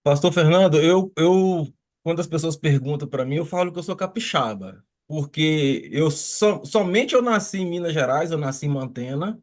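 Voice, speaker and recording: A man's voice: a little high, lively intonation, moderate pace. Church member, man from Espírito Santo, courteous, on a video call. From Espírito Santo, Brazil. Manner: polite, formal greetings. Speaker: church member giving testimony.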